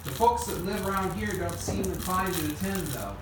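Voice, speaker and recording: Homemade radio drama: encouraging, practical inventor brother, female performer, earnest delivery, distant mic, clear background noise. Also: fairly low pitch, moderate pace, natural voice. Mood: calm, practical. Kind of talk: amateur family radio play. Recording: echoey room, distant mic, noisy hiss.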